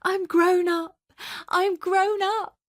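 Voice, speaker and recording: Woman acting a gushing, high-pitched excited young girl. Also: slow pace, no room sound, studio quality. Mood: elated. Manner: gushing, breathlessly eager. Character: excited, naive young woman just grown up. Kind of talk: dramatised short story reading for radio.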